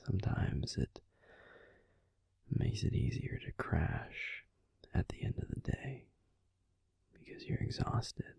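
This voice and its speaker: Casting: male actor for a tender, grumpy young werewolf boyfriend in a bedtime audio roleplay, whispered, soothing, very slow delivery. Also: low-pitched, very animated, no echo, heavily acted. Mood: soothing, drowsy. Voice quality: breathy whisper. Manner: gentle, soothing, unhurried reassurance with pauses. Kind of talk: whispered ASMR sleep-aid roleplay. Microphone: close, dry microphone.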